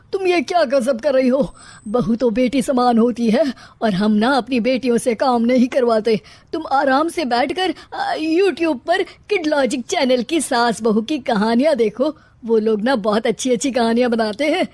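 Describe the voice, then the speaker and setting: A woman's voice: high voice, unhurried, darkish tone, dry recording. A woman voicing a nervous, cowardly middle-aged father-in-law in a cartoon, flustered and dramatic. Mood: fawning.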